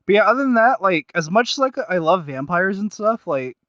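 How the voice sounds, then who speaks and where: A man's voice: high voice, big pitch swings, a little fast, fairly bright tone, no room sound, highs cut off. A man co-hosting a casual review podcast, rambling and hesitant as he searches for his words. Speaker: podcast co-host reviewing audio series.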